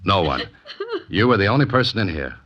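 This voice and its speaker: Determined investigator, very deep voice, terse hardboiled delivery, 1940s radio drama, muffled old recording. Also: male voice, big pitch swings, brisk, a bit bright, heavily acted. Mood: firm, probing. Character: deep-voiced, determined male amateur investigator narrating his case. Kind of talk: 1940s radio mystery acting and narration. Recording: dull vintage radio recording.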